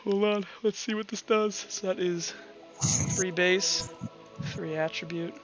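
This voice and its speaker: High, bright-voiced man running a game. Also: steady tone. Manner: halting, repeats words while improvising. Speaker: game master. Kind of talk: improvised narration of a tabletop roleplaying game. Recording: dull lo-fi audio with music behind. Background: background music.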